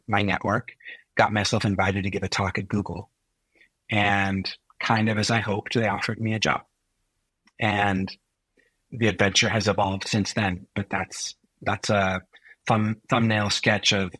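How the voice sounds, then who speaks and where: Deep, husky-voiced middle-aged man. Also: sharp tone, no echo, poor audio. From Chile. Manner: thoughtful, unhurried, often pausing to find words. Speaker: interview guest, physician and technologist. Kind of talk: reflective career storytelling.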